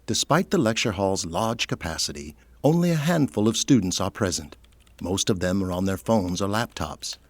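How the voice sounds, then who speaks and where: A man's voice: low-pitched, animated, moderate pace, no room sound. A man narrating a scripted audio drama, reading out scene descriptions.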